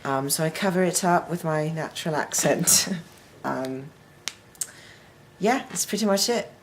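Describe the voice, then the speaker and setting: A man's voice: on the high side, animated, measured pace, slight background noise. A teenage boy chatting playfully in a put-on British accent, joking his way through a mock interview. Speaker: co-host.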